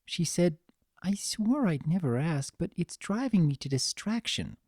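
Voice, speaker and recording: Woman voicing a high-pitched, gentle, anxious young pony. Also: sing-song, unhurried, dry recording, studio quality. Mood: earnest, puzzled. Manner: soft, earnest, fretful.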